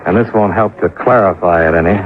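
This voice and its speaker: An actor playing a wry, hardboiled American investigator, narrating and talking in an old radio detective drama. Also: male voice, deep voice, fairly quick, lightly acted. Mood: matter-of-fact. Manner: wry, clipped, deadpan. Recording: tinny old radio recording with music.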